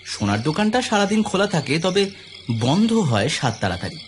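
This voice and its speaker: A man narrating a scripted audio story in an expressive, suspenseful, dramatic storytelling style. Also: on the high side, fairly quick, slight background noise. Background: clear background music.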